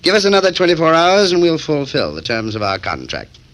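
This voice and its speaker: Smooth syndicate chief: businesslike menace, swinging intonation, vintage radio crime style. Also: male voice, fairly high pitch, wildly swooping pitch, fairly quick, fairly bright tone. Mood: brisk, assured. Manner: formal, politely menacing. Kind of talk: 1940s radio acting. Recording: vintage 1940s radio recording.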